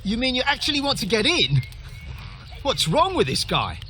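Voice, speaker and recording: A wary refugee camp guard in a fantasy video game. The voice is very high for a man and forceful, with lively swings, blunt and weary in manner. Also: normal speed, a little hiss or hum, exaggerated voice. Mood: weary, exasperated. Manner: blunt, exasperated.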